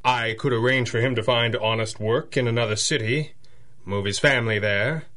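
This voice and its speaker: An actor playing a composed, gentlemanly superhero in a 1930s radio drama, with a measured, formal and dry manner. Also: male voice, mid-range voice, steady tone, close to an everyday voice. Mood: reserved, gently stern. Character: composed, gentlemanly male masked superhero, the Red Panda.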